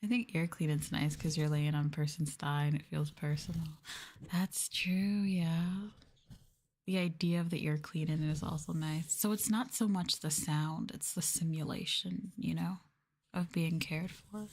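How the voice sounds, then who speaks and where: A woman doing a live ASMR stream, talking softly and breathily to viewers in a gentle, subdued way, often dropping into a whisper close to the mic. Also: low voice, fairly even intonation, unhurried. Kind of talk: ASMR soft talking, casual chat with viewers. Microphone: close ASMR microphone.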